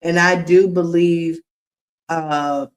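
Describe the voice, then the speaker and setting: A woman's voice: lowish voice, steady tone, takes it slowly. A woman chatting on her live stream, rambling with lots of fillers, talking straight to her viewers as y'all and teasing the people she calls out. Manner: rambling, filler-heavy, addresses chat as y'all. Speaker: livestreamer.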